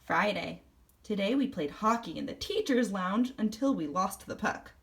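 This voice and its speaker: A woman reading a children's story aloud in first person, expressively and deliberately, acting out the tale. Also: medium pitch, animated, fairly bright tone. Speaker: storybook reader.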